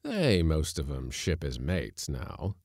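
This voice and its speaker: A man voicing a gruff, commanding ship's captain with an irritable, scheming streak in a storybook tale. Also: extremely low pitch, huge pitch swings, slow pace, darkish tone, no room sound, clean studio sound, exaggerated voice. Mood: amused, confident. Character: stern, blustering male schooner skipper, irritable but scheming.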